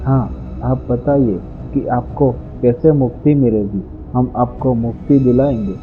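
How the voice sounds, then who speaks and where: A man's voice: medium pitch, steady tone, a little slow, phone-quality sound, faint music behind, natural voice. A man playing an earnest young village man secretly in love in a cartoon story. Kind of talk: Hindi cartoon story dialogue.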